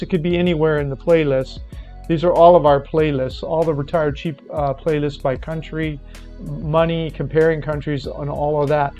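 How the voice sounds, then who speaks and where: A man's voice: on the high side, mellow. A man hosting a travel channel, asking guests questions and explaining step by step how to find a playlist. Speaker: host. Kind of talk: interview and channel promo.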